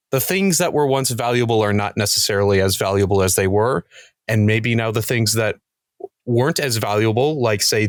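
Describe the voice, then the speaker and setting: A man talking casually as a podcast guest, thinking aloud in a halting, reflective way. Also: mid-range voice, no echo, studio quality. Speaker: podcast guest, cast member of a role-playing show.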